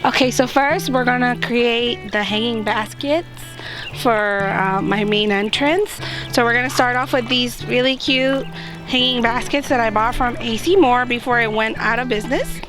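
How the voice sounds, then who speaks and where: A woman with a slightly raised, hoarse voice talks a gardening vlog through step by step, upbeat and chatty. She is recorded outdoors, with clear music playing under her voice. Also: a little high, moderate pace. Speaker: gardening channel host. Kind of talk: casual how-to chat to camera. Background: background music.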